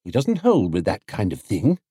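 A man's voice: lowish voice, wildly swooping pitch, moderate pace, mellow, breathy edge, no room sound, studio quality, full character voice. A man voicing an opinionated woman stallholder in a storybook, folksy and disapproving. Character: opinionated woman running a knick-knack stall.

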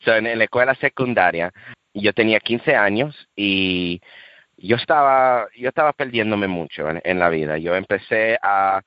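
Deep-voiced man, muffled call audio. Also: lively intonation, moderate pace, dry recording. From United States. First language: English. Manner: hesitant, many fillers and restarts. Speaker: podcast guest. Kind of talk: telling his religious testimony.

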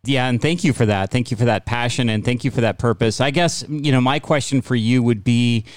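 A man's voice: medium pitch, fairly quick, dry recording, clean studio sound. A man hosting an interview show in a conversational style. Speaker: podcast host.

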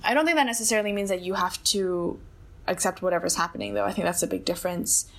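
A woman's voice: fairly low pitch, lively intonation, fast talker, a bit bright. Thoughtful young woman, full of hedges. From Hong Kong. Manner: thoughtful, many hedges and fillers like "like" and "I think". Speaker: interview guest. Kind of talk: reflective conversational interview.

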